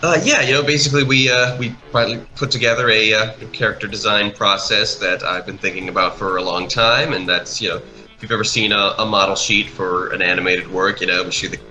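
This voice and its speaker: A man's voice: on the low side, sharp tone, low-quality recording. A man giving casual, unscripted commentary, often trailing off and rephrasing mid-thought. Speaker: animation project creator co-hosting a speedpaint commentary. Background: background music.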